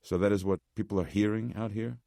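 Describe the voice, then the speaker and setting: A man voicing a harried, skeptical male doctor in an audiobook, brisk, curt and questioning. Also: low-pitched, darkish tone, no echo, clean studio sound. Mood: brusque, wary. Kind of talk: audiobook character voice.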